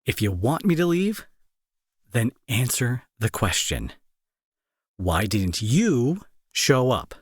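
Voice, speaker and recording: A man's voice: mid-range voice, sing-song, slow, pauses often, husky, dry recording, studio quality, full character voice. A young man playing an irritable, sarcastic bully who scolds with hidden worry, in an intimate roleplay audio drama. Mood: sarcastic, accusing. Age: young adult. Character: irritable young male bully, gruff but secretly worried.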